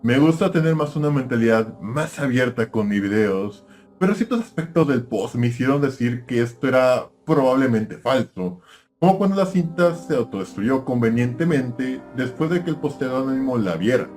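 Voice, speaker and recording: A man's voice: mid-range voice, expressive, normal speed, darkish tone, natural voice. Man playing a chatty male cartoon-geek YouTuber, music behind. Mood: analytical. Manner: presenter-like, addressing viewers directly. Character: nostalgia-obsessed male cartoon-review YouTuber, chatty mystery presenter. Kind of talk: scripted YouTube video commentary.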